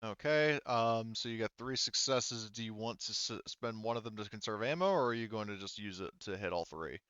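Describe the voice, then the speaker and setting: A man speaking casually and haltingly as game master of a tabletop roleplaying game, thinking aloud as he goes. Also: on the low side, fairly bright tone, clean tone, no echo, muffled recording.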